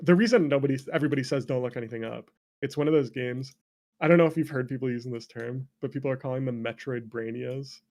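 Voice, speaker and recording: A man's voice: medium pitch, quick pace, darkish tone. A man in a casual, opinionated chat about video games, rambling and swearing freely as an interview guest. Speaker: interview guest, game developer.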